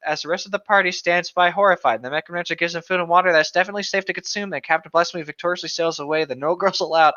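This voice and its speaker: Higher pitched guy podcasting, talks fast, chatty and reading stories, slightly muffled lo-fi mic. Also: sharp tone. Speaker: podcast co-host. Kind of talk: casual chat and reading stories aloud. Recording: slightly dull, lo-fi microphone sound.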